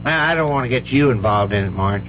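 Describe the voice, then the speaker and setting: A man's voice: medium pitch, animated, fast talker, lightly acted. An actor playing a middle-aged, folksy, hearty uncle who looks after his brother's family in old-time radio drama. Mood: grim, troubled. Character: genial bank-teller uncle, protective of his brother's family. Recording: dull, noisy old radio recording.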